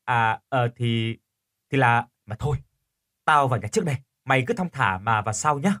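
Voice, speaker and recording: A man's voice: medium pitch, animated, moderate pace, fairly bright tone, lightly acted. A man voicing a cheeky, evasive young woman in an audio story, with a wheedling manner. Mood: sheepish.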